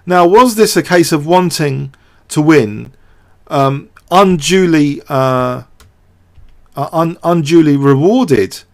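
A man with a somewhat high-pitched voice hosts an analytical commentary. He works things out aloud without a script, hesitating and repeating words as he goes. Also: slow pace. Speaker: host of a chess show. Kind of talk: off-the-cuff game commentary and analysis.

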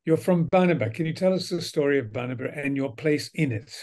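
A man in his seventies or older hosting a video call interview in a formal, courteous, measured way. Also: a little high, expressive, darkish tone. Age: 70s or older. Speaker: host, institute director. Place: indoor book-lined study, video call.

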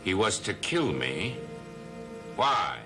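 A man's voice: on the low side, big pitch swings, slow pace, loud music behind the voice. A middle-aged man playing a suspicious, intense accuser in a gothic soap opera, delivering his lines dramatically. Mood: accusing. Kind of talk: 1960s gothic TV soap acting. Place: TV studio library set.

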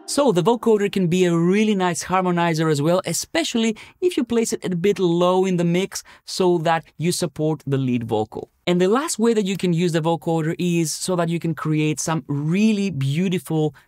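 A man's voice: sits high, moderate pace, few pauses, darkish tone, dry recording, clean studio sound. A man in his thirties explaining music production step by step in a conversational tutorial style. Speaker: tutorial presenter. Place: small home-style music studio, indoors.

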